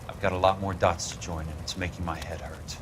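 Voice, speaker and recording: Casting: male actor as battered tough-guy noir investigator, low voice, dry and sardonic, clear noise behind. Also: little pitch movement, brisk, lightly acted. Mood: weary, gently wry. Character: wry, tough, wisecracking male investigator recovering from injuries. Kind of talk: film noir video game acting.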